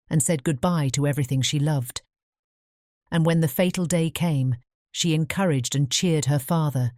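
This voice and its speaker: A woman's voice: deep voice, darkish tone, dry recording, clean studio sound. A woman narrating a fairy tale audiobook from a script.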